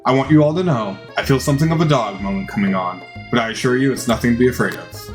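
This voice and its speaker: Man as dog professor, pompous, formal announcements, game character, medium pitch, music behind. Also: fast talker, lightly acted. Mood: apologetic, formal. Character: pompous professor dog, male, small and cute.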